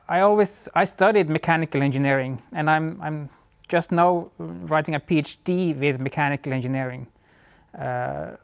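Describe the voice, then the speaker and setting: A man's voice: on the high side, gravelly, no room sound. A man from northern Norway with a Norwegian accent, an engineer talking casually as an interview guest, with thoughtful restarts. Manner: thoughtful, frequent restarts and fillers.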